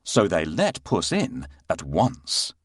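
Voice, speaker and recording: Slow, very animated male storyteller. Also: deep voice, a little hiss or hum. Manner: expressive, dramatic storytelling delivery. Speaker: storyteller narrator. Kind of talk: scripted children's bedtime story narration.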